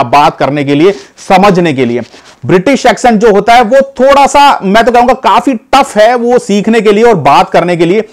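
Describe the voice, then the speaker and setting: A man from India talks straight to his audience in an easygoing vlog, his voice sitting noticeably high. He rambles a little, circling back with fillers and restarts, and keeps calling his viewers "guys". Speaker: vlogger giving advice. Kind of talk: casual talk to camera.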